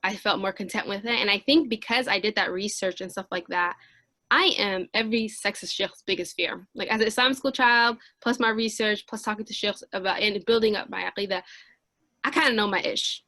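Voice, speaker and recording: A young woman talking quickly in a bright, forceful voice. She is a podcast co-host, chatting informally and assertively, recorded dry and close. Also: mid-range voice, dry recording. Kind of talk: casual chat.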